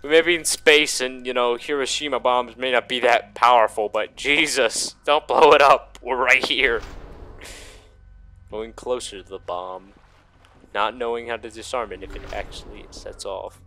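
A man's voice: medium pitch, fairly even intonation, normal speed, gravelly, pushed and bright. A young man giving casual, crude and exclamatory commentary while playing a video game. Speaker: gaming video host. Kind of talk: casual let's play commentary.

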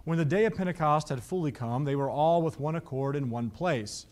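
Man in his thirties, preacher, slightly high voice, lively rhetorical questions, studio stage sermon. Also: a little fast. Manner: animated, asks the listeners rhetorical questions. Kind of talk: Bible teaching sermon. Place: studio stage with painted backdrops.

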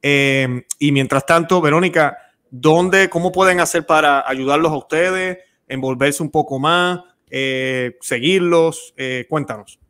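A man's voice: a little high, a little slow, fairly bright tone. A man in his thirties hosting a live-stream interview, speaking in a friendly way and taking audience questions on a close studio mic. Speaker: host. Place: room at home with religious images. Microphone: studio mic close to mouth.

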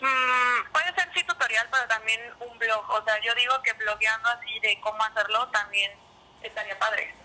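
Sharp, bright young woman on the phone. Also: on the high side, normal speed. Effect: telephone speaker. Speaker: person answering a phone call. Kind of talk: hesitant phone conversation. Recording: phone call replayed through speaker.

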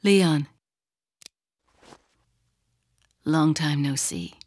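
A woman's voice: low voice, takes it slowly, a little mellow, slight background noise. An actress playing a cool, secretive young female spy in a video game, composed, terse and aloof. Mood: cool, teasing. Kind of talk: video game cutscene acting.

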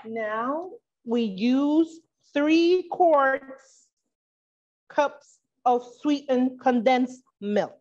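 Middle aged lady doing a live cooking demo, really slow talker, muffled lo-fi sound. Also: high-pitched, a little mellow. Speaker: cooking class instructor. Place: indoor kitchen with steel fridge and counters.

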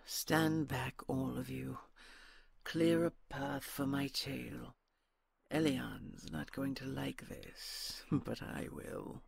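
A woman playing an imperious red dragon queen in an audio roleplay, measured and regal, with dry mockery and menace. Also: very deep voice, a little hiss or hum, heavily acted. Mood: menacing, amused. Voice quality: gravelly, husky.

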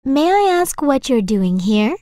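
High, genteel young lady. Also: very animated, a little slow, warm tone, dry recording, studio quality. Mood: delighted, curious. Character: genteel, cheerful young village lady, eager and curious. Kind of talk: animated children's story acting.

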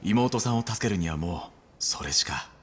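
A man playing a calculating middle-aged surgeon in a video game drama, speaking in a measured, formal, subdued way. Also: on the low side, lively intonation, breathy edge. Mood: grave.

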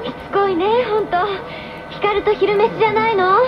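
A woman's voice: very high voice, little pitch movement, moderate pace, music in the background. An actress playing a cool, teasing, aloof teenage girl with a dry, offhand manner in a radio drama. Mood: cold. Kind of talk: 1990s radio drama acting. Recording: muffled AM radio broadcast.

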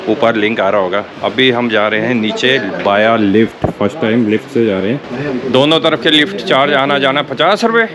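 A man's voice: medium pitch, moderate pace, crowd noise. A middle-aged man casually narrating a travel vlog. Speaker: motorcycle travel vlogger. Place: outdoors, on a motorbike and at a riverside tourist spot. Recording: noisy outdoor action-camera sound.

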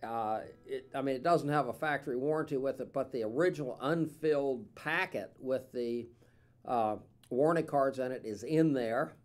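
A man's voice: medium pitch, normal speed, soft background music. Older man, easygoing sales talk, ums and uhs, small studio, mic on a stand. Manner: relaxed, rambling with many fillers. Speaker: instrument dealer. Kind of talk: casual product demo. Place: dim small studio room, seated with guitar.

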